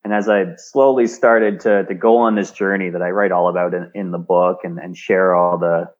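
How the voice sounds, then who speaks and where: Canadian man, interview guest, lowish voice, keeps repeating words, muffled remote call sound. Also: fairly even intonation, normal speed. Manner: frequent word repeats and restarts. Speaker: guest, speaker and engineer. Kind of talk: remote interview, reflective storytelling.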